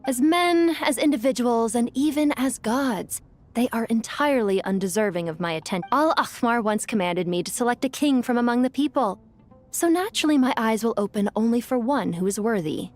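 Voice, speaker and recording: Woman voicing an imperious high-voiced ancient being. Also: animated, darkish tone, close to an everyday voice. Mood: disdainful. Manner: imperious, formal, measured. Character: haughty, ancient, wise female desert being. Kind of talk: video game voice acting.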